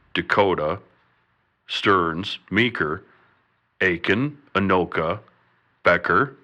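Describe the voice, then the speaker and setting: A man's voice: low voice, bright, forceful tone, full character voice. A man playing a bumbling, hesitant governor who plods through official statements in a comedic old-time radio drama. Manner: halting, plodding, official. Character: bumbling, hesitant governor making an emergency announcement.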